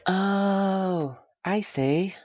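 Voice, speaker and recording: Muffled, slow, tentative man played by an actor. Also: high-pitched, darkish tone, heavily acted. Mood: puzzled. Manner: tentative, questioning, short replies. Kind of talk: naturalistic audio drama dialogue.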